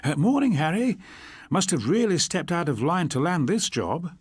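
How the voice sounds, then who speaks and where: An eager young police constable from an audiobook crime tale, played by a male narrator. His voice lifts higher than the narration and moves around freely. He is self-conscious and hesitant, never quite sure of himself. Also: very animated, normal speed, husky, dry recording, studio quality. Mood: cheery.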